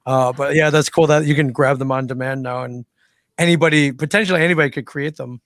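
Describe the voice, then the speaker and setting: A man co-host on a live stream chatting casually, halting often with "uh". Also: mid-range voice, steady tone, fairly bright tone, no echo, studio quality. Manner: halting, many "uh"s. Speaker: podcast society co-host. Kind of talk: casual reminiscing chat.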